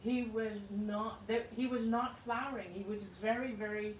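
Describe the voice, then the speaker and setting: A woman's voice: a little high, steady tone, moderate pace. An older woman from Hong Kong talking earnestly to camera in her sunny backyard garden, gesturing as she speaks. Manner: warm, earnest, gestures a lot with her hands. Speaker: self-help speaker. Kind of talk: casual talk to camera. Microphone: phone camera at a few feet. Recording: distant outdoor mic with ambient noise.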